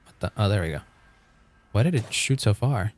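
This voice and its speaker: A man's voice: on the low side, expressive, moderate pace. A man live-streaming a game, chatting casually and thinking aloud in an informal way, with casual swearing. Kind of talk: casual live-stream chat while gaming.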